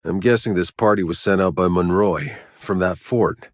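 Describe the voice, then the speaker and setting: Gruff, sardonic game mercenary, played by a male actor: low register, terse and wry delivery, dry studio sound. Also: moderate pace, no room sound, natural voice. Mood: sober, matter-of-fact. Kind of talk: video game voice lines.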